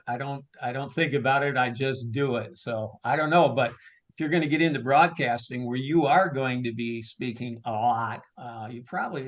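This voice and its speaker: Male, mid-pitched, ballpark announcer type in an informal interview, conversational and unscripted. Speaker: stadium public address announcer and marketer. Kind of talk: casual interview answers.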